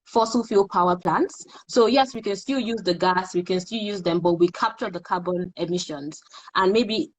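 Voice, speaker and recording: A young woman hosting an online interview, agreeing warmly and asking questions with hesitant fillers. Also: on the low side, normal speed, low-quality recording. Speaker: interviewer, host.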